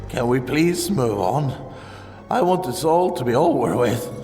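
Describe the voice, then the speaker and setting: A weary, serious man on an adventure acted by a man speaks slowly at a middling pitch. Long reverb surrounds the voice in this fantasy audio drama. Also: expressive, dark tone, close to an everyday voice. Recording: long reverb, faint music.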